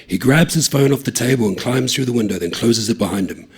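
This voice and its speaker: A man giving an expressive, animated reading of a short story, voicing each character. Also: mid-range voice, quick pace, breathy edge. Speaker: story reader.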